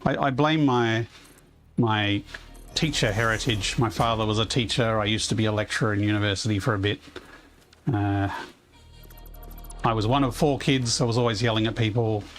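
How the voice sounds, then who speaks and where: A man with a loud voice chatting casually on a live game stream, rambling as he plays and reading chat aloud. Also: on the low side, fairly even intonation, normal speed, pauses often, faint music behind.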